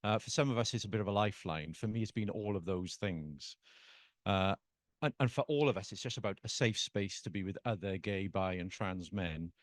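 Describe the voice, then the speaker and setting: A man in his forties with a South Welsh accent, earnestly pitching himself as a trustee candidate at a members' meeting. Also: brisk, dry recording, studio quality. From South Wales. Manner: earnest, with frequent "uh" fillers. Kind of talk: live speech at a meeting.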